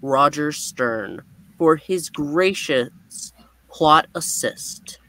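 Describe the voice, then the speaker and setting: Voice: mid-range voice, animated, very slow, pushed and bright, rough recording. A co-host presenting a live comic book reading in a showy, theatrical announcer style with sudden emphatic bursts. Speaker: co-host and reader of a comic book puppet show.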